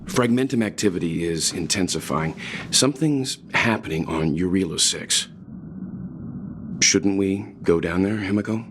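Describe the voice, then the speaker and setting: A man playing a serious, cautious, mature gentleman in a video game. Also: deep voice, normal speed, background noise. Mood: concerned.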